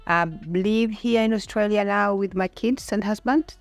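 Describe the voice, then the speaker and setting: A woman's voice: mid-range voice, steady tone, measured pace, no echo, soft background music. A middle-aged woman with a Kenyan accent talking about her own life in a conversational interview. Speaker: podcast guest.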